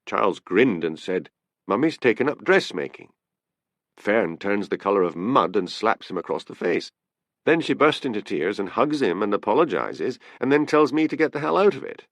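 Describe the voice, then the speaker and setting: A man's voice: fairly low pitch, very animated, moderate pace, a bit bright, no room sound, exaggerated voice. A man voicing a breezy, defiant young policewoman with a cheerful, offhand manner, in an audiobook story. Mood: gossipy.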